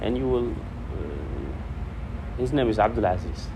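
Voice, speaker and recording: A man's voice: fairly low pitch, slow pace. A man preaching informally to a congregation, repeating phrases for emphasis. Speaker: preacher teaching hadith. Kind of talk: informal religious talk to a congregation, quoting Arabic. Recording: noisy room with steady background hum.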